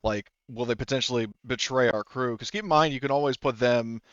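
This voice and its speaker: A man's voice: medium pitch, a little fast, a bit bright, no room sound. A man explaining his reasoning in a casual tabletop game discussion, often qualifying his points. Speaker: tabletop roleplaying game participant. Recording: slightly dull, lo-fi voice audio.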